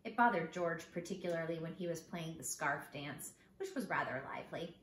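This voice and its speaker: A woman's voice: low-pitched, fairly quick. Woman reading a storybook in an echoey room. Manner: expressive, addressing young listeners directly. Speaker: teacher reading a children's book aloud. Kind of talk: storybook read-aloud for children. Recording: echoey room with background noise.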